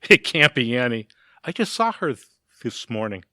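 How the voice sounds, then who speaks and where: Man acting a bright-voiced, doubting neighbour husband. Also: mid-range voice, sing-song, moderate pace, no room sound, studio quality. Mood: dry, amused. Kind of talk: audio theatre comedy acting.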